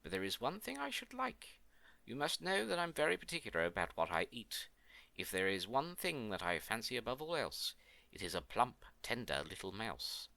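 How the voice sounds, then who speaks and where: A man's voice: mid-range voice, huge pitch swings, normal speed, gravelly, bright, forceful tone, no echo, full character voice. Man putting on a haughty, mean little old woman, swooping. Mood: sly, wheedling. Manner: haughty, demanding. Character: mean-tempered little old woman, fussy, greedy schemer. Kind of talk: storybook character voice.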